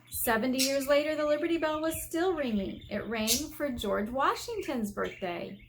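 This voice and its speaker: A woman's voice: on the high side, a little hiss or hum. An American woman, an elementary teacher, reading a children's book aloud and explaining it in simple, instructive terms.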